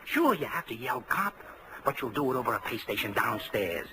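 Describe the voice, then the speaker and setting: An actor playing a nervy, wheedling American ex-convict in 1940s radio drama style, slangy and hesitant. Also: male voice, medium pitch, big pitch swings, fairly quick. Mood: pleading, urgent. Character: nervy, pleading, fast-talking male ex-convict crook. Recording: noisy old radio broadcast.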